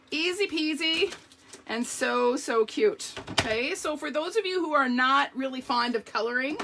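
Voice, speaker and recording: Woman, slightly hoarse, bright voice, chatty craft demonstrator, live how-to. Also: a little high, slight background noise. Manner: chatty, explains each step, talks to viewers. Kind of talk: live card-making demonstration.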